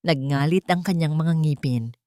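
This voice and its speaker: A woman's voice: low voice, moderate pace, a little mellow, no echo, studio quality. A woman narrator reading a scripted dramatic story.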